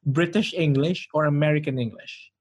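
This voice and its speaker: A man's voice: on the high side, moderate pace, husky. A man, co-hosting a casual chat and asking probing questions.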